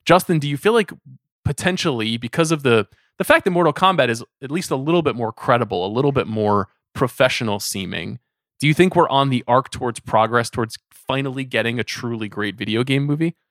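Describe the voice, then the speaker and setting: A man's voice: mid-range voice, lively intonation, a little fast, fairly bright tone, dry recording, clean studio sound. A man hosting a conversational film discussion, speaking in an articulate, discursive way as he frames questions. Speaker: podcast host.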